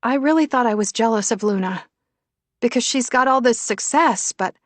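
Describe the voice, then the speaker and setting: A woman's voice: on the high side, moderate pace, dry recording, voice pushed up, close to an everyday voice. A frazzled woman of about thirty, acted out by a female narrator, feisty and self-deprecating, with emotion close to the surface. She speaks in audiobook dialogue with a dry, close sound. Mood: miserable, confiding.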